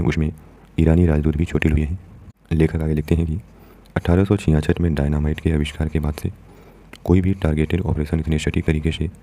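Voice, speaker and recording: News explainer presenter: Indian male, brisk pace, very dark mellow tone, instructive commentary delivery. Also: very deep voice. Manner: didactic, addresses listeners directly as friends. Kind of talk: explanatory commentary, reading out an editorial.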